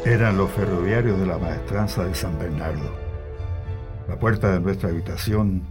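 An actor playing a former prisoner who gives reflective, colloquial first-person testimony in a radio drama, with a Chilean accent. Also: male voice, low-pitched, normal speed, close to an everyday voice. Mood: reflective, recalling. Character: male former prisoner soberly recalling his detention. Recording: music behind the voice.

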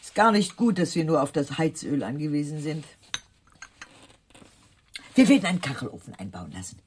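An actress playing a whimsical, superstitious aunt of about sixty in a German radio drama. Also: female voice, deep voice, sing-song, normal speed, a little hiss or hum. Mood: chatty, brisk. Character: eccentric sixty-year-old aunt, superstitious, whimsical and blithe.

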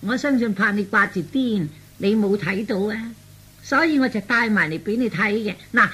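A man voicing a sharp, scolding young jilted fiancée in husky falsetto for a radio comedy. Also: moderate pace, heavily acted. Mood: smug, curt. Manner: scolding, taunting, emphatic. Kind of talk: Cantonese radio comedy acting.

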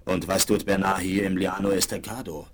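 An actor playing a calm, decisive frontier hero in a Western radio play, measured and authoritative. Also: male voice, low voice. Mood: concerned. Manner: measured, authoritative, terse questions. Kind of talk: 1970s radio play acting.

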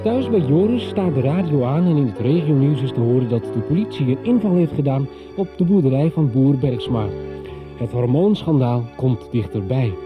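Radio serial narrator, man: scripted recap, very varied intonation, muffled lo-fi recording, music bed. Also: a little high.

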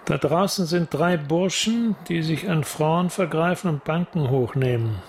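An actor playing a curt, menacing police detective in a German radio crime drama. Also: male voice, fairly high pitch, unhurried, slight background noise. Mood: coldly threatening. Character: hard-nosed, threatening police detective sergeant.

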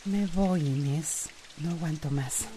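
A woman's voice: low voice, takes it slowly, mellow, a little hiss or hum. An actress with a Costa Rican accent playing a troubled woman who holds something back, in a radio soap. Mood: anguished. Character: troubled, desperate woman holding back a secret. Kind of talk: radio soap opera acting.

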